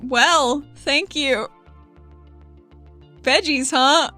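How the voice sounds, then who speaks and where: A woman's voice: high voice, drawn-out words, pushed and bright, soft background music, pitched above the performer's own voice, exaggerated voice. A woman voicing a casual, breezy, slightly awkward female investigator in a tabletop game. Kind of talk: tabletop roleplay character voice.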